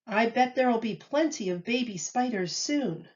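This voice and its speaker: A woman voicing an excited, eager little boy in a children's storybook. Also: fairly high pitch, moderate pace, highs cut off, lightly acted. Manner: exclaiming, eager.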